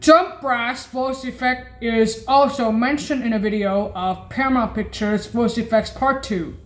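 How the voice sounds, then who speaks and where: A woman demonstrating keyboard sounds, naming instrument presets haltingly in short phrases. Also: fairly high pitch. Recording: roomy echo, keyboard music.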